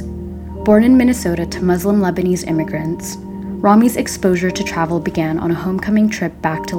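A woman hosting a podcast, reading a polished introduction and asking interview questions in a measured way. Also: fairly low pitch. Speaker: podcast host. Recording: music under the voice.

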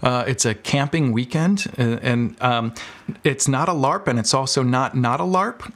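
A man's voice: mid-range voice, moderate pace, a bit bright. An American man talking casually and informally as a podcast guest, telling stories about his creative work. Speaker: podcast guest, audio drama producer. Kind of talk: casual interview chat.

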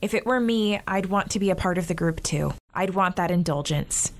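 An actress playing a caring, indulgent young big sister in a modern audio drama, conciliatory and gently teasing. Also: female voice, lowish voice, moderate pace, close to an everyday voice.

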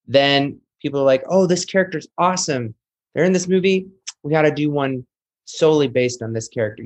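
A man hosting a casual podcast chat, speaking with enthusiasm and addressing his listeners directly. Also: medium pitch. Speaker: podcast host.

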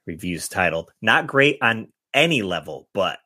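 A man's voice: lowish voice, big pitch swings, a little slow, bright, forceful tone, breathy edge. A man hosting a podcast game, chatting casually and reading aloud with some jokey, theatrical emphasis. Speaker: podcast host running a guessing game. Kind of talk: casual podcast chat with read-out reviews.

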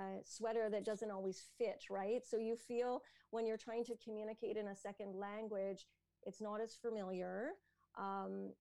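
A woman's voice: medium pitch, no room sound. A Canadian woman, an entrepreneur and educator, talking thoughtfully in an interview with many "um"s and "you know"s. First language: English. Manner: thoughtful, many fillers, soft laughs. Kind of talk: conversational interview answer.

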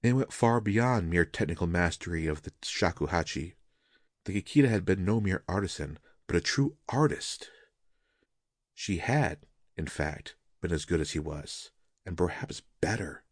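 A man narrating literary fiction as an audiobook reader, in a measured, solemn and dramatic manner. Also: low-pitched, lively intonation, no room sound, clean studio sound.

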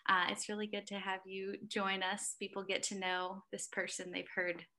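A woman's voice: medium pitch, completely flat delivery, normal speed, pushed and bright. A woman pastor hosting a casual church conversation, upbeat and chatty with many fillers. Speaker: associate director and pastor, host.

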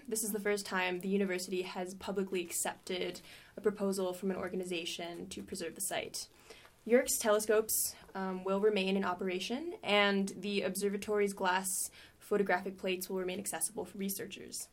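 Young female student reporter, mid-pitched, scripted reading dotted with fillers. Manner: reads with frequent "um" fillers. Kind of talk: reading a news item. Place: recording booth.

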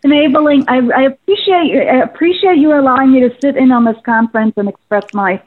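A woman in her forties calling in to a show, talking haltingly with many fillers and repeating herself. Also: high voice. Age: 40s. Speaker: caller. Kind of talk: call-in show chat. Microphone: phone. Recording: tinny phone line.

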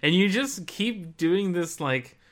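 A man's voice: high-pitched, lively intonation, slow, fairly bright tone. A man co-hosting a video game podcast, explaining things in a casual, conversational way. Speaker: podcast co-host.

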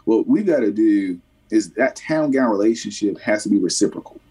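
A man, answering an interviewer in a measured, halting way and filling his pauses with "uh" and "you know." His voice sits fairly low and is picked up from a little way off the microphone. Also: lively intonation, dark tone, breathy edge, distant mic, poor audio. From Columbia, South Carolina. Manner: halting, many fillers and restarts. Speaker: mayoral candidate. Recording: voice somewhat off mic.

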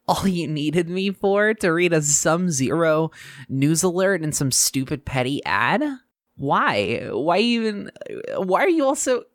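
Sarcastic young podcast host, pitch swinging. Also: female voice, no room sound, studio quality. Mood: exasperated, incredulous. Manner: sarcastic, rambling, exasperated. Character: sardonic, reluctant, overwrought young student podcast host. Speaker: podcast news host character. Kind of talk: scripted audio drama, mock news show monologue.

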